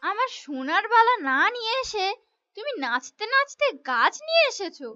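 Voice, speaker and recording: A woman's voice: very high-pitched, sing-song, normal speed, pushed and bright, muffled recording, faint music behind, heavily acted. A woman voicing a scolding, greedy village wife in a fairy-tale cartoon, with a nagging, exclamatory delivery. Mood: angry, scolding.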